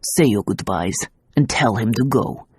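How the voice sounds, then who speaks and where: A woman reading an audiobook voices a stern, whiskered male police officer, speaking gruffly with a scolding manner. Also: extremely low pitch, expressive, unhurried, a little mellow, slight background noise, pitched below the performer's own voice.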